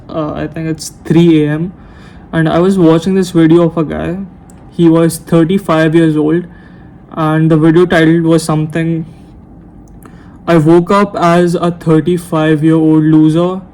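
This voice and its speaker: Brief: young man around nineteen, unscripted late-night vlog, rambling with restarts, monotone, slightly raised pitch. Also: low-quality recording. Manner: rambling, hesitant, self-correcting. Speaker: YouTuber. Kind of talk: unscripted talk to camera. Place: room at night. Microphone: camera mic.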